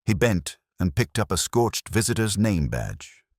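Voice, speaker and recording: A man narrating a genteel comic mystery story in a measured, literary and dryly understated manner. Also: lowish voice, very animated, dry recording, clean studio sound.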